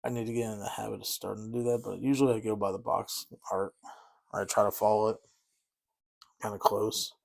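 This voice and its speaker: A man's voice: on the low side, little pitch movement, a little fast, creaky. A man chatting casually and rambling a bit as he paints miniatures, easygoing and self-deprecating. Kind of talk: casual chat while painting.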